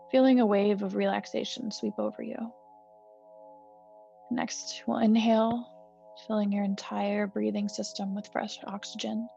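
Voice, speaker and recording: A woman meditation teacher guiding a healing breath meditation in a soft, slow, soothing way with gentle pauses. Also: medium pitch, creaky. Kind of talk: guided meditation. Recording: slightly dull recording with soft music.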